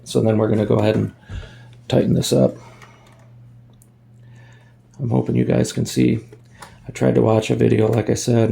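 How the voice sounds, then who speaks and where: A man's voice: fairly low pitch, creaky, mellow. A man giving a casual hands-on tutorial in a folksy way, thinking aloud as he works, recorded on a phone. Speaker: amateur DIY video maker.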